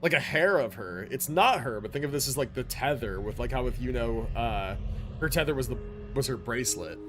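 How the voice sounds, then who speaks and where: A man livestreaming a game with casual, slangy commentary, musing out loud and swearing now and then. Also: medium pitch, expressive, fairly quick, slight background noise.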